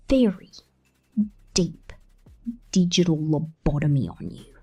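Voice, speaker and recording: A woman's voice: medium pitch, mellow. A woman voicing a possessive, sinister robot mechanic in an ASMR roleplay, teasing and cooing intimately, partly in whispers. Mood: sweetly threatening.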